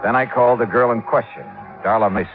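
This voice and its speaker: An actor playing a hardboiled, wry American insurance investigator, narrating and talking in a clipped 1950s radio detective style. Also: male voice, medium pitch, fast, phone-quality sound, natural voice. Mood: wry, matter-of-fact. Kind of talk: 1950s detective radio drama acting and first-person narration. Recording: vintage band-limited radio with music behind.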